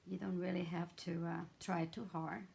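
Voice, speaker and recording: A hoarse middle-aged woman, chatting her way through a craft tutorial with many fillers and restarts. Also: lowish voice, little pitch movement, normal speed, a little mellow, slight background noise. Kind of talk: casual step-by-step craft demonstration.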